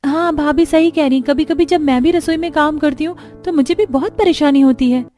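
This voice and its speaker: A woman's voice: high voice, a little fast, mellow, soft background music, lightly acted. A woman voicing a young, demanding sister-in-law in an Indian cartoon family drama, with exaggerated expression. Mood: sympathetic. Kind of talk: Hindi cartoon family story dubbing.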